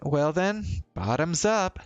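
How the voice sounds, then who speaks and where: A man's voice: fairly high pitch, lively intonation, slow pace, clear, resonant tone, dry recording, dull audio, soft background music, pitched above the performer's own voice. A man voicing a tired, teasing and troubled young woman in a visual novel, with a casual, hesitant delivery. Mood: playful, dry. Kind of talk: visual novel dialogue read-aloud.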